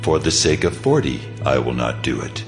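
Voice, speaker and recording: A man's voice: a bass voice, very animated, moderate pace, soft background music. A man voicing a solemn, authoritative God in a dramatized scripture reading. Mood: solemn, calm. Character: the Lord God, solemn, mighty, merciful male deity.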